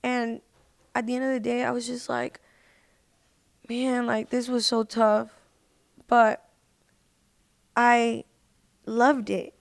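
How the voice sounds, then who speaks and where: Female podcast guest, high voice, slangy and emphatic conversational delivery, very dry close sound. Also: flat delivery, slow pace, gravelly, pauses often, no echo. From United States. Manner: informal, slangy, emphatic with "like" and "literally". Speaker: podcast guest, independent singer. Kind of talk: casual chat.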